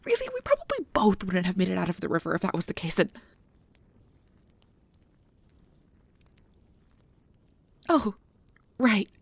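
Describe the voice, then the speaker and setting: A woman playing a nervous, caring young magic researcher in an ASMR audio drama, rambling in a flustered, halting, apologetic way. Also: mid-range voice, wildly swooping pitch, gravelly, husky, dry recording, full character voice.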